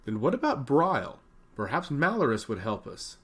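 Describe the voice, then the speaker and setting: A man's voice: mid-range voice, very animated, normal speed, husky. A man voicing an earnest, insistent princess who pleads her case in a fantasy story. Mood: hopeful.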